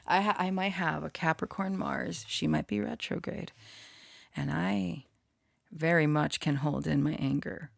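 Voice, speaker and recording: A woman's voice: deep voice, sing-song, measured pace, creaky, no room sound, clean studio sound. A woman rambling casually to her online followers, giggly and prone to swearing. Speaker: online storyteller and channeler.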